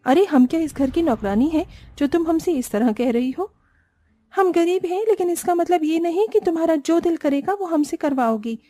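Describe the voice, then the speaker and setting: Woman as a humble, high-voiced poor village wife. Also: expressive, normal speed, mellow, no room sound. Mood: indignant. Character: humble poor village sister-in-law, hurt but proud.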